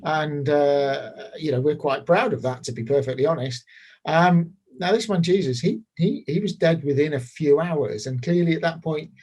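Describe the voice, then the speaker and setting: An actor playing a casually callous, self-justifying Roman governor giving courtroom testimony in a hesitant, dismissive, conversational way. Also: male voice, a little high, darkish tone. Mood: callous, matter-of-fact.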